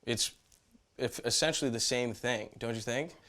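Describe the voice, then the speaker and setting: A man's voice: mid-range voice, moderate pace, a bit bright. A young adult man speaking reflectively in conversation, in a thoughtful, slightly hesitant way. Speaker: photographer interviewing his great-aunt.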